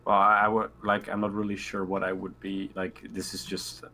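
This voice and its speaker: Low male voice, hesitant, halting, casual game chat, noticeable background noise. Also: monotone, bright, forceful tone. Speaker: tabletop game player.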